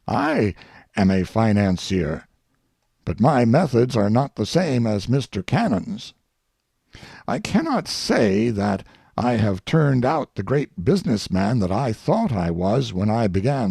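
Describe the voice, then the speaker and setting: A man reading as a wry, self-satisfied humorist giving an after-dinner speech, droll and deliberate, with oratorical pauses and a gravelly voice. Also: mid-range voice, mellow, no room sound, studio quality, full character voice.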